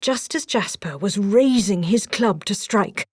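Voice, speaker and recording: Lady reading a kids storybook out loud, expressive, mid pitch, really dry recording. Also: measured pace, husky, no echo. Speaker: storybook narrator. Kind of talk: scripted children's read-along narration.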